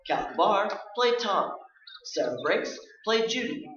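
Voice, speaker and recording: A man's voice: sits high, sing-song, slow, a bit bright, dull audio. A man formally announcing the credits of a staged radio play. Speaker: announcer reading the cast credits. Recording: echoey stage, distant mic, audience noise.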